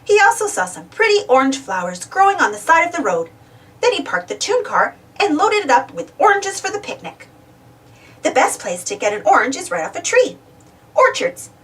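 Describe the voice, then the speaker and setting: Female children's read-aloud narrator, high register, hugely lively intonation, slightly distant mic. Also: moderate pace. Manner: expressive, simple delivery for young children. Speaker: storybook reader.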